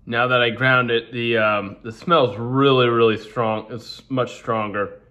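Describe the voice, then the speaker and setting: A man's voice: medium pitch, fairly even intonation, measured pace, pushed and bright, dull audio. A man, casually reviewing coffee in his home kitchen and rambling as he works, recorded on a phone camera. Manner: rambling, restarts often, talks while working.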